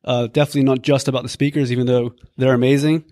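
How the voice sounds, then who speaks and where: A man talks quickly in a friendly, reflective way. His voice sits at a middle pitch and sounds dry and close. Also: level tone, dark tone, no room sound. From Brazil. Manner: friendly, reflective, many ums. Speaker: discussion participant.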